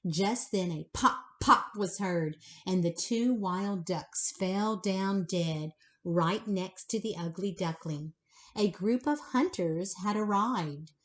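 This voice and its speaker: A woman reading a children's bedtime story aloud in an expressive way, speaking directly to young listeners. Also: medium pitch. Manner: slow, animated, pausing to address the child listeners directly.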